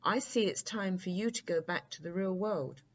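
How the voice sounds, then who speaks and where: A woman voicing a knowing, brisk daddy long legs creature in a storybook, instructing in a matter-of-fact way. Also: fairly low pitch, lo-fi sound, slight background noise, pitched above the performer's own voice, lightly acted. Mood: teasing, knowing.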